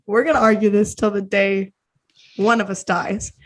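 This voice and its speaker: A woman's voice: mid-range voice, steady tone, moderate pace, poor audio. A woman in her twenties with an American accent, chatting casually as a podcast host and bickering playfully. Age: 20s.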